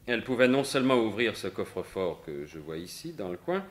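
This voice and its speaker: A low, confident male voice plays a gentleman thief and sleuth in a vintage-style radio drama. He phrases everything formally and articulately, with wry, probing assurance. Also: big pitch swings, a little fast. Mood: probing, grave. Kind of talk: French radio mystery drama acting in 1950s manner.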